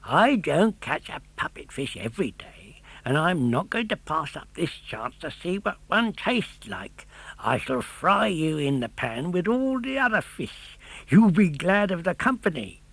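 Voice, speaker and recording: A man's voice: a little high, sing-song, measured pace, gravelly, fairly bright tone, slightly rough, no echo, pitched above the performer's own voice, full character voice, low-quality recording. A man voicing a greedy, hot-tempered fisherman in a storybook, exclaiming and savouring his words. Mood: gloating. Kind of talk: audiobook character voice.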